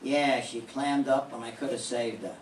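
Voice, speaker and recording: A man's voice: mid-range voice, steady tone, moderate pace, close to an everyday voice. An older man playing a blunt, persistent private detective in a radio drama, questioning in a clipped way. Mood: probing. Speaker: amateur radio drama performer. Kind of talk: live reading of a 1940s radio detective drama. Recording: echoey room, distant mic, faint noise.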